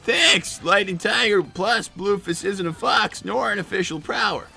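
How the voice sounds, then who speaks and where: A young cartoon fox played by a man, high-pitched and swinging widely as he talks. He is flustered and defensive, stammering his way through exasperated live-stream banter in a bright, forceful voice. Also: lively intonation, a little slow, creaky, soft background music, full character voice. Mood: indignant. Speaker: live-stream host in character. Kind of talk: improvised in-character banter.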